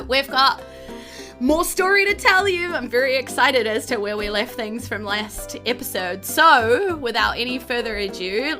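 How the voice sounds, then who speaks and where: A woman with a New Zealand accent hosting a podcast as dungeon master in a lively, casual style. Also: on the high side. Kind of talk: lively casual podcast intro and game recap.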